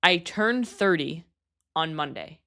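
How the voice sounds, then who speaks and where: A woman's voice: sits low, expressive, slow pace, bright, forceful tone. Earnest, candid woman of about thirty. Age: 30s. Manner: earnest and candid, with casual swearing. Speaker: podcast host. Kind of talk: personal podcast monologue.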